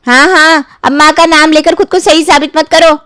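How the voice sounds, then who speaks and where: A cheeky young sister-in-law, put on by a woman narrator, needles and mocks. The voice sits high and spiteful, in a cartoon family-drama style with a dry recording. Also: steady tone, normal speed, fairly bright tone, dry recording. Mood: accusing, taunting. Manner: mocking, needling.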